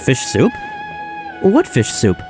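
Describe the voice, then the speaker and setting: A man's voice: medium pitch, wildly swooping pitch, slow. A man voicing an anxious, deferential subordinate agent in a video game, with an apologetic, earnest manner. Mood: flustered denial. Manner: apologetic, emphatic, flustered. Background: background music.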